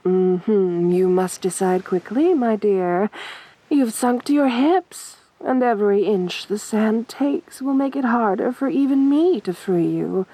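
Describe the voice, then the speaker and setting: A woman playing a possessive, teasing siren in a soft, breathy voice for an ASMR roleplay, with a seductive, toying manner. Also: medium pitch, no room sound, low-quality recording. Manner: slow, seductive, toying, assertive. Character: beautiful sadistic siren, winged monster woman, teasing, possessive.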